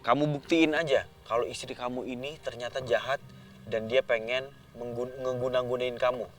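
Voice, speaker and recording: A man's voice: mid-range voice, fairly even intonation, moderate pace, a bit bright, slight background noise, lightly acted. A man telling a children's story voices a ragged, scheming stranger who speaks in an insistent, confiding way. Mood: insistent persuasion. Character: ragged, scheming male stranger who slanders his sister.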